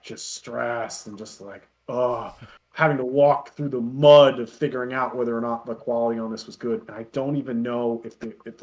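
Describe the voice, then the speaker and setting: A man chatting casually as an interview guest, telling self-deprecating anecdotes in an animated way. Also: mid-range voice. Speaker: interview guest, web parody creator.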